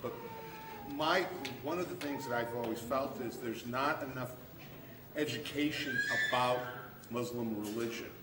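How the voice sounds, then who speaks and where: A man in the audience giving a halting comment to a panel, often rephrasing himself. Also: mid-range voice. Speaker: audience member making a comment and question. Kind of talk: extemporaneous question to a panel. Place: large room at a public panel event. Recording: echoey room, distant mic, background noise.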